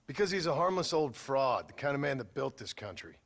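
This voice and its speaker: An actor playing a weary, bitter former outlaw cowboy in a video game, terse and sardonic with a raspy voice. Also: male voice, mid-range voice, sing-song, brisk, a bit bright, heavily acted. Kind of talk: video game cutscene acting.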